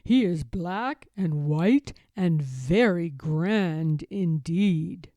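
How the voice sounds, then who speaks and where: A woman voicing a kindly old grandfather bear from a storybook, a retired sea captain who speaks with reassuring, drawn-out emphasis. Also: lowish voice, expressive, dark tone, no room sound, clean studio sound. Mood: explaining, kindly.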